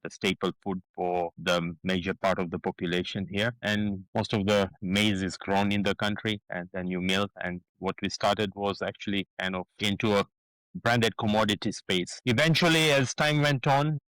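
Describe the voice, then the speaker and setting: Low-voiced Kenyan man, halting. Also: steady tone, a bit bright, no echo, poor audio. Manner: hesitant, many fillers and restarts. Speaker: guest, business founder. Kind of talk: casual interview talk.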